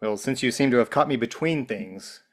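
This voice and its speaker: A man playing a bewildered, agitated captive in a script table read, demanding answers in an urgent, halting way. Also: medium pitch, natural voice. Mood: confused, wary.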